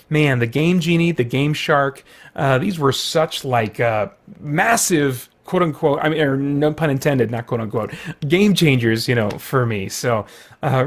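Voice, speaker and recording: A middle-aged man hosting a video with casual, rambling, enthusiastic chat full of loose asides. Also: fairly high pitch. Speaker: video host.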